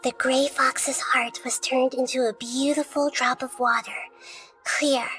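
A woman voicing a small, childlike female deity in a video game, gentle, wise and composed, speaking softly in measured, thoughtful phrases. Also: high-pitched, flat delivery. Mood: solemn storytelling. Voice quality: soft, girlish. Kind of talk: video game dialogue and fairy-tale narration. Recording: music under the voice. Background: music.

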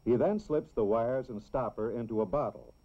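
American guy doing 60s school film narration, explains stuff simply for kids, muffled lo-fi old soundtrack. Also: medium pitch. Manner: simple, deliberate explaining for children. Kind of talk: scripted 1960s educational film narration.

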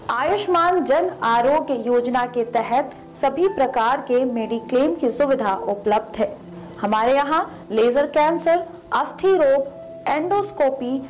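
Woman, ad voice-over, high voice, slow deliberate delivery, faint music behind. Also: low-quality recording. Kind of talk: scripted advertisement.

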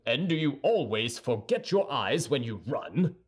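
A man voicing a vain, gruff giant of a male musketeer with a blustering manner. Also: medium pitch, big pitch swings, measured pace. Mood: gruff annoyance.

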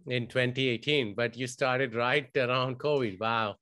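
A man's voice: mid-range voice, fairly even intonation, unhurried, sharp tone, no room sound. A man hosting a podcast over a video call, eager and hesitant with fillers and repeats. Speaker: podcast host. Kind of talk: casual interview hosting. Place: home room, video call.

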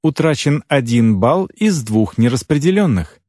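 A man voicing an impersonal game system that makes formal, measured announcements in a fantasy audiobook. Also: mid-range voice, animated, dark tone, no echo, studio quality. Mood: neutral, formal.

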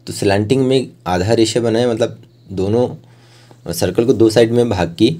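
Low, mellow man explaining steps. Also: normal speed. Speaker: art tutorial host. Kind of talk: step-by-step instructional voice-over.

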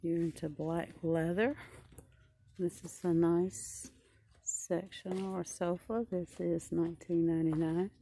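A woman's voice: lowish voice, flat delivery, measured pace, mellow, a little hiss or hum. A woman shopping vlogger giving casual running commentary as she browses a large furniture showroom.

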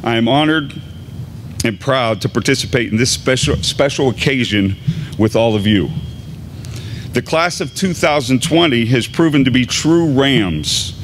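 A man in his fifties, an assistant high school principal, giving a formal, deliberate graduation address at a podium microphone in a large gymnasium. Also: slight background noise. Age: 50s. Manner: measured, deliberate announcing with pauses.

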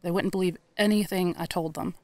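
A woman's voice: on the low side, steady tone, a little fast, mellow, no echo, lightly acted. A woman playing an earnest, worried protector in an audio drama.

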